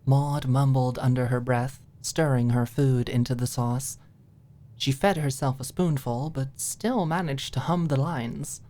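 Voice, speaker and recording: A woman's voice: extremely low pitch, a little slow, dark tone. A woman narrating a scripted audiobook in an ASMR style.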